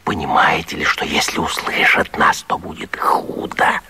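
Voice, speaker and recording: An actor playing an irritable, commanding young lover in a radio comedy, in a hoarse, sarcastic half-whisper. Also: male voice, rumbling low, steady tone, moderate pace, bright, forceful tone, exaggerated voice. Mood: exasperated hissing. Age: young adult. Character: irritable, bossy young lover hiding under a bed. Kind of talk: theatrical radio vaudeville acting.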